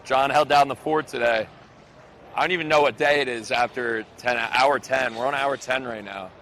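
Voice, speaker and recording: A man co-hosting a casual livestream, loud and joking. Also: medium pitch, fairly even intonation, moderate pace, slight background noise. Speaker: co-host.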